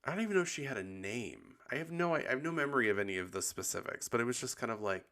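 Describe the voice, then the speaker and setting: A man hosting a podcast in casual confessional chat, sounding husky and tired after bronchitis, rambling with lots of likes and ums. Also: medium pitch, animated, fast talker, bright, forceful tone. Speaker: podcast host.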